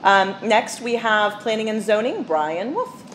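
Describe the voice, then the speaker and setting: Woman mayor calling agenda items, brisk and casual, faint hum behind. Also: mid-range voice, animated, fairly bright tone. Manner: brisk, casual, many fillers. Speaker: village mayor chairing a council meeting. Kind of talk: running a meeting agenda. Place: council meeting room.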